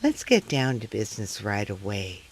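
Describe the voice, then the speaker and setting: Man playing animated, skeptical talk show host. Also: on the low side, moderate pace, husky, full character voice. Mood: businesslike. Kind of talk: comic live radio theater interview.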